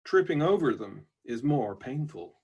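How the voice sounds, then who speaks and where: A man voicing a reflective, wryly stoic robot labourer from a book, slowly and deliberately, with an American-style twang. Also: medium pitch, big pitch swings, darkish tone, slightly rough, highs cut off, exaggerated voice. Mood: homespun, plain. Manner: slow, deliberate, pausing delivery. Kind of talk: book reading of aphoristic notebook entries.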